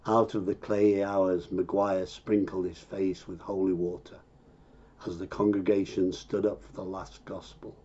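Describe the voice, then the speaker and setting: A man recites poetry at a slow, deliberate pace. His voice is low and husky, and the recording is somewhat dull and muffled. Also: little pitch movement, a crowd in the background. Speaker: poetry reader.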